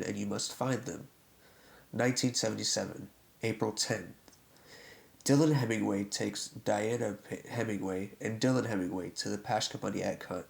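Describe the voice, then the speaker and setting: A man with a fairly low voice reads a scripted horror chronicle. Heavy vocal fry runs through his delivery, giving it a rough, creaky grain. He moves deliberately from one short phrase to the next as a narrator laying out events.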